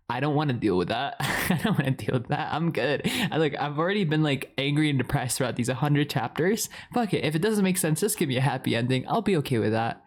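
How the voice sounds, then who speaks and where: A young man playing a chatty, lovestruck boyfriend in an improvised ASMR roleplay, rambling in a playful, dramatic way. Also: mid-range voice. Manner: rambling, giggly, playfully dramatic, frequent swearing.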